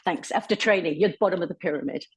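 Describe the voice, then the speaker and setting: A woman's voice: on the low side, very fast, husky, dry recording. A woman from Cornwall with an English accent, a netball coach, introducing herself casually and self-deprecatingly on a video call. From England, Cornwall.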